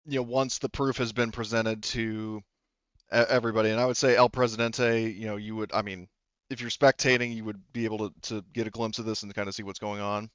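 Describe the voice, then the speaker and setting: A man's voice: lowish voice, little pitch movement, fairly quick, a bit bright, clean tone, no room sound, dull audio. A man running a tabletop game as game master, explaining things casually in a hesitant, rambling way.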